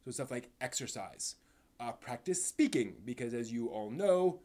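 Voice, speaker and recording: Halting, casual male live stream host. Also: medium pitch, expressive, mellow. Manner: halting, rambling, stumbles over words. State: tired. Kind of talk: casual live stream chat, answering chat questions.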